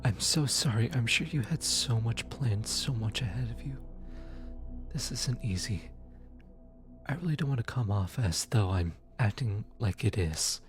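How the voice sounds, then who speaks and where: A man playing a gentle, apologetic demon who comforts lost souls in an ASMR roleplay, speaking softly and hesitantly. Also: medium pitch, expressive, a little slow, soft background music, natural voice. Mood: comforting, indignant. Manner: soft, soothing, hesitant with stammers. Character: gentle, apologetic male demon soul collector comforting the newly dead. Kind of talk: ASMR roleplay audio drama.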